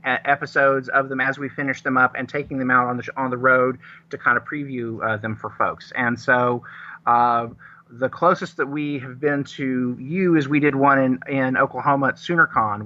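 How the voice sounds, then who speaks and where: A man speaking as a guest in a casual live interview, halting and rephrasing as he explains. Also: mid-range voice, bright, forceful tone. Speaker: radio guest, audio drama producer. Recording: dull, lo-fi remote connection.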